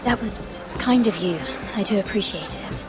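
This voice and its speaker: A woman playing a proud young noblewoman in a video game drama, measured, guarded and firm. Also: mid-range voice, natural voice. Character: composed, proud young noblewoman serving as handmaiden. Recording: music behind the voice.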